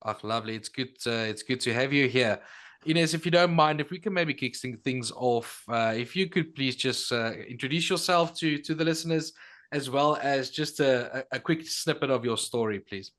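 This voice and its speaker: A man with a medium-pitched voice hosts a podcast interview. He is polite and conversational, feeling his way through questions with frequent hesitations and rewordings. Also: moderate pace, a bit bright. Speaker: podcast co-host.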